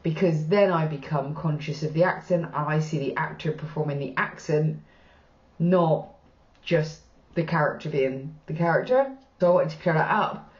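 A woman's voice: deep voice, normal speed. A woman in her thirties with a Bristolian accent, hosting a reaction channel in casual, frank commentary with the odd swear word. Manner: chatty and frank, casual swearing, gestures while talking. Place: small plain room indoors. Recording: roomy, slightly dull sound.

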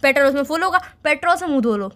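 Casting: young girlfriend on the phone, female, sharp high voice, nagging and insistent, casual. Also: expressive, racing. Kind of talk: casual couple's phone chat.

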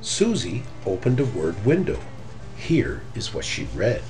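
A middle-aged man reads a picture book aloud for children. He takes his time, with expressive phrasing and a lively rise and fall in pitch. Faint music plays behind him. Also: measured pace. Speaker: storybook reader. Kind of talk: children's story read aloud.

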